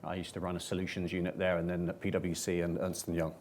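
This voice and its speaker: A man with a low, level voice answers on a conference panel. He speaks in a measured way, pausing on fillers as he builds his points. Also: flat delivery, darkish tone. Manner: measured, hesitant with many fillers. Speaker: panelist, management consultant. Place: conference room with audience.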